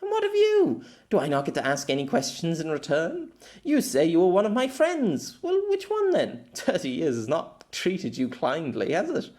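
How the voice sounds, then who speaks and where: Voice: mid-range voice, huge pitch swings, normal speed, darkish tone, voice pushed up. A narrator voicing a spirited, imperious young warrior noblewoman with formal, old-fashioned and emphatic speech in an amateur audiobook. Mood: teasing, indignant. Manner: formal archaic phrasing, emphatic. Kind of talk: amateur audiobook character acting.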